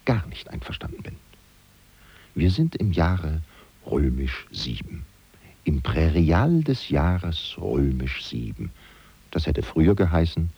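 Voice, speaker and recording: An actor playing a wry, gossipy valet in revolutionary Paris, confiding ironically in the listener in a theatrical radio-play monologue. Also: male voice, a bass voice, dark tone, heavily acted. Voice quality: gravelly, husky. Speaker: actor playing a narrator figure.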